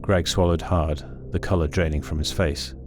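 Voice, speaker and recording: A man with an extremely deep voice reads a horror story. His delivery is scripted, measured and full of suspense. Prominent music runs under the narration. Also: steady tone.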